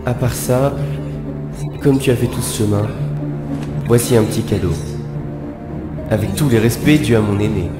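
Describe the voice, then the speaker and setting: A man's voice: medium pitch, moderate pace, pauses often, lightly acted. A man playing a cool, slyly deferential schemer in a dramatic fan dub. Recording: roomy home dub under loud music.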